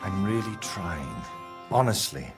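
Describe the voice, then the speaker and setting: An actor playing a troubled, scheming male painter in a video game, excitable and theatrical, with a husky voice. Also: low voice, measured pace, over music, exaggerated voice. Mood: bitter, brooding. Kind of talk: video game character dialogue.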